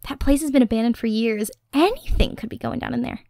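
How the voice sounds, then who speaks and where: A woman playing a dorky, affectionate young girlfriend in an intimate ASMR roleplay, expressive and teasing, close on the mic. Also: a little high, fast talker, creaky, no room sound. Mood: musing, casual. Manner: playful, expressive, teasing, nerdy rambling. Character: playful, dorky, Halloween-obsessed young girlfriend, excitable and affectionate. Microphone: close, dry studio microphone.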